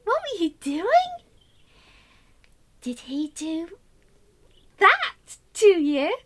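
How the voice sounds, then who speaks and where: A woman imitates a little girl in a radio drama, her voice jumping high. She is inquisitive and insistent, pestering with questions. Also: wildly swooping pitch, extremely slow, a bit bright, heavily acted. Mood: excited, prying. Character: shrill young girl pressing someone with questions.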